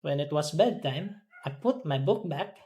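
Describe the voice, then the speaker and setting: An English student carefully reading words and sentences aloud, repeating them deliberately as in a pronunciation drill. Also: mid-range voice, lively intonation, dark tone. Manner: deliberate, repeating after a teacher.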